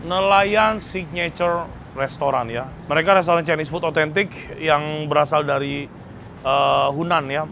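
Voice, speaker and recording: A man's voice: fairly high pitch, a bit bright, a little hiss or hum. A man with a Jakarta Indonesian accent presenting a food vlog in a casual, slangy, chatty way, often tagging sentences with "ya".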